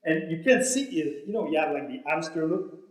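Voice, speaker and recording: A man's voice: on the high side, a little fast. A man with a French-Canadian accent, a native French speaker, giving a motivational keynote speech and acting out his stories with animation. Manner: animated storytelling, acting out dialogue. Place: large room, speaking to a live audience. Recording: very echoey hall sound.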